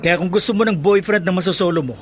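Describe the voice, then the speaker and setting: A man's voice: high-pitched, fast talker, slight background noise, lightly acted. A man acting in a Filipino radio drama as a vain, laid-back young adult. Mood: cocky, teasing.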